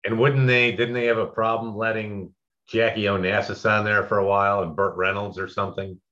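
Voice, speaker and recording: A man hosting a casual interview with informal, halting phrasing, on a dull lo-fi recording. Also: on the low side, flat delivery, bright, forceful tone. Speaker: interviewer, host. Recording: dull, lo-fi audio.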